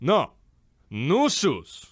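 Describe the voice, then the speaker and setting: A man's voice: a little high, huge pitch swings, extremely slow, slightly rough, no room sound, clean studio sound, full character voice. A man playing a haughty, blunt and grandiose shoemaker in a radio comedy, talking in a theatrical, pushy salesman's manner. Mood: blunt, exasperated. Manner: pompous, theatrical, swooping delivery. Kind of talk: old-time radio comedy acting.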